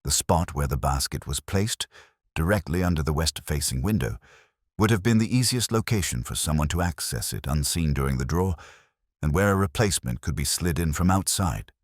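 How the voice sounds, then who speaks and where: Man playing quiet, deep-voiced, shrewd police inspector. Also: lively intonation, no echo, clean studio sound. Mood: probing. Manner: measured, murmured, probing.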